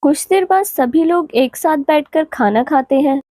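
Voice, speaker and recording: Lady narrator for a cartoon story, pretty high voice but mellow, really dry audio. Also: moderate pace, dry recording.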